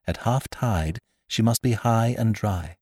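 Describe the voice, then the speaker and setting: A man's voice: lowish voice, measured pace, warm tone, no echo, clean studio sound. A man reading aloud and voicing a frightened but honest young boy, tearful yet steadfast.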